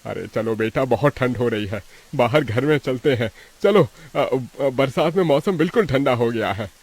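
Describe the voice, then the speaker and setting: A man playing a poor, worried labourer father who frets and gives orders in an animated moral story. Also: mid-range voice, normal speed. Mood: scolding, urging. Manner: fretful, exhorting. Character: Devesh, poor worried labourer father, bossy but caring.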